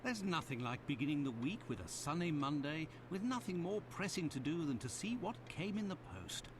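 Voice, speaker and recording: An actor playing a fussy, talkative doctor companion in a video game. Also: male voice, fairly high pitch, animated, moderate pace, noisy background, close to an everyday voice. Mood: chatty. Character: chatty loyal male doctor companion. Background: game music.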